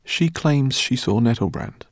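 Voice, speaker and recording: A man's voice: mid-range voice, lively intonation, measured pace, dry recording, clean studio sound, voice pushed up. A man reading an audiobook, voicing a kindly, flustered older male professor.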